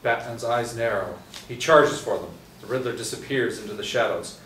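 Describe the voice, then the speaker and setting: A man reading a screenplay's scene directions aloud at a table read, steady and measured. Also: medium pitch. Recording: echoey room from far off with faint noise.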